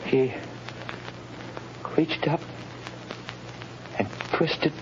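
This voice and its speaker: An actor playing a frightened condemned man who pleads in a strained voice that jumps high, in a 1930s radio drama. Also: drawn-out words, highs cut off. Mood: desperate. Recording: scratchy 1930s radio recording with hiss.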